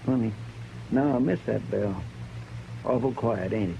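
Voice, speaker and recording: An actor playing a loyal, folksy marshal's deputy with an in a radio western. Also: male voice, on the low side, measured pace, gravelly. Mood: folksy, uneasy. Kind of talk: 1950s radio drama acting. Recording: muffled, faintly noisy vintage radio recording.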